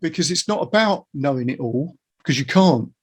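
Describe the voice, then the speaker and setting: A man's voice: a little high, expressive, moderate pace, slightly rough. A middle-aged man hosting a casual chat, musing informally and circling round his ideas. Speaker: interviewer, guitar teacher.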